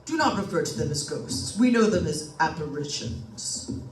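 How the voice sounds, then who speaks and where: A woman's voice: sits low, lively intonation, moderate pace, faint music behind. Solemn, pedantic ghost-researcher doctor, echoey woman acting. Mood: solemn, correcting. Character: earnest, learned paranormal investigator doctor. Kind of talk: old-time radio drama acting. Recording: echoey room, distant mic, faint noise.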